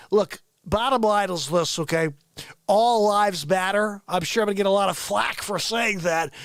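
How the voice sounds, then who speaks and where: A man voicing a loud, ranting tabloid news crusader in a mock news show, stretching out emphatic catchphrases. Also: high voice, no echo. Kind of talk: bombastic mock news rant.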